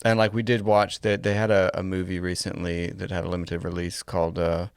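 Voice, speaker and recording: Low, smooth-voiced man with vocal fry. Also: level tone, brisk, no echo, studio quality. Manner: tentative, hesitant and reflective. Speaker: podcast co-host. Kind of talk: casual conversational commentary.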